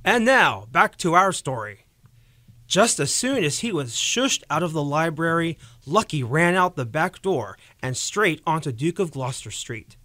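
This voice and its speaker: A man playing a lively narrator and announcer on an old-time 1930s radio show. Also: fairly high pitch, unhurried, no echo, heavily acted. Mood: dramatic storytelling.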